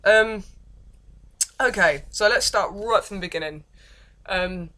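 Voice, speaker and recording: A young woman with an English accent and a deep voice, telling her life story in a rambling, self-deprecating vlog with many false starts. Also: a little slow, fairly bright tone. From England. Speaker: vlogger. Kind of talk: casual confessional vlog, reading from notes.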